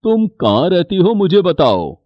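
A man voicing a kind, polite middle-aged bangle merchant in an animated story. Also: sits high, unhurried, dry recording, muffled recording, exaggerated voice. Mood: kindly. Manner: polite, sympathetic.

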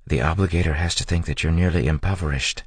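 A man voicing a quiet, guarded teenage girl. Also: a bass voice, fairly even intonation, fast, breathy edge, dry recording, voice pushed up. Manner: quiet, soft-spoken. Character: scrawny, guarded sixteen-year-old girl thief.

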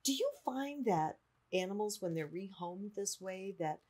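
A woman's voice: mid-range voice, animated, measured pace, a little mellow. An older woman interviewing in a conversational, chatty way, readily chiming in with agreement. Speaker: interview host. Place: small indoor studio-office, seated.

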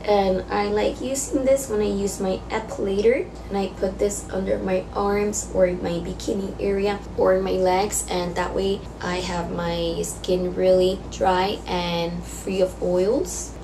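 Young female beauty vlogger, hoarse from illness, casual and brisk, mid pitch, noisy home recording. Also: flat delivery. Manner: chatty, quick product run-through. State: raspy voice from being sick. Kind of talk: casual haul vlog. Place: small indoor room against a plain wall.

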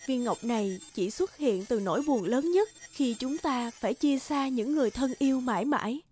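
A woman voicing a gentle, motherly fairy of sorrow in a cartoon, speaking tenderly and solemnly. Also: high voice, animated, moderate pace. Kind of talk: Vietnamese cartoon dubbing.

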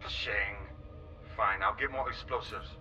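A man's voice: fairly low pitch, fairly even intonation, unhurried, distant mic, highs cut off, music in the background. An actor playing a blunt, grumbling spaceship crewman in a video game, heard over a radio.

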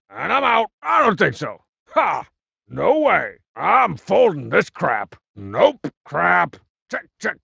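A man voicing a cocky, juvenile, short-tempered masked cartoon villain for a video game, gravelly and raspy, with boastful, mocking, slangy delivery. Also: on the high side, pushed and bright, no room sound, exaggerated voice. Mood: matter-of-fact. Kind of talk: video game character barks.

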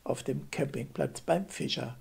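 A man's voice: mid-range voice, unhurried, vocal fry, a little mellow, husky. An older man from Germany, the host of a camping travel vlog, narrating a voice-over in a friendly, chatty way full of recommendations.

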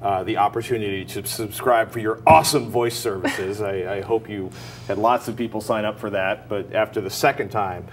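A man's voice: on the low side, fairly even intonation, moderate pace, a little hiss or hum. An American man in his forties co-hosting a tech show with casual, colloquial chat and wry asides. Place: indoor TV studio set. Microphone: lapel mic.

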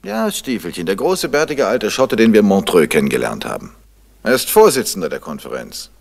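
An actor playing a suave middle-aged crime novelist with urbane, dry irony in a German radio drama from 1970. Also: male voice, lowish voice, very animated, a little fast, creaky, full character voice. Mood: teasing.

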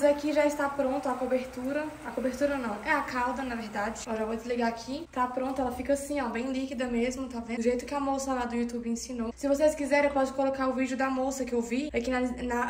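Fast, high-voiced young woman vlogging. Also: roomy sound, slight background noise. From Brazil. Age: young adult. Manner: chatty, addresses viewers often, rambling asides. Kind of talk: casual chatty cooking vlog. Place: small home kitchen indoors. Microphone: phone camera held close.

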